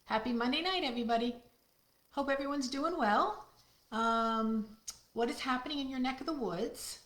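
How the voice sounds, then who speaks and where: A middle-aged woman with a loud, projecting voice, chatting casually with viewers as she hosts a live card-making stream. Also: sits high, normal speed. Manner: chatty, friendly, talks to viewers by name. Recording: echoey room.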